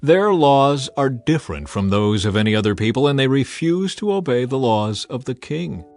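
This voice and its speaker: A man's voice: mid-range voice, animated, normal speed, darkish tone, natural voice. A man voicing a vengeful, scheming royal official who speaks formally and persuasively in a dramatized scripture reading. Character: scheming, vengeful male royal official pleading his case.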